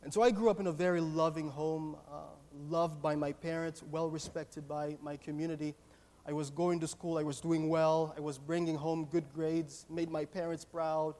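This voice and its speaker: A nervous man with a Haitian accent, a native Haitian Creole speaker, giving a personal testimony sermon in an earnest, halting way, repeating words as he goes. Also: fairly high pitch, little pitch movement, darkish tone. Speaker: guest preacher at a church. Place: church sanctuary, speaking to a congregation. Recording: echoey hall with long reverb.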